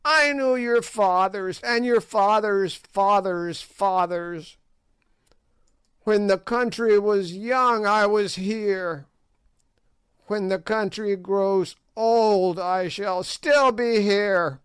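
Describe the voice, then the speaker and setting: A man's voice: extremely slow, a bit bright, clean tone, dry recording, studio quality, full character voice. A man voicing an ancient, evil witch woman who shrieks and cackles in old-fashioned speech. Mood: furious. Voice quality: shrill, cackling.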